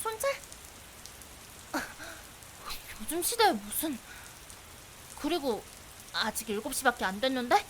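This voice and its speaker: A woman playing a persistent young female investigator in a visual novel, playful and sulky, with a wheedling, pouty, expressive delivery. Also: high-pitched, measured pace, long pauses. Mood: curious, teasing. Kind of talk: visual novel voice acting, anime-like drama. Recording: hiss with steady rain behind.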